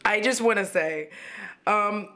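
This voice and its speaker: A young woman with a drawl talks through a makeup session at home, rambling happily and breaking into laughs. Her voice is a little hoarse, as she is losing it, and she keeps up a self-deprecating, casual flow. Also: mid-range voice, slow pace, sharp tone, soft background music. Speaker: beauty vlogger. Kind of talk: casual chat while doing makeup. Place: at home, indoors.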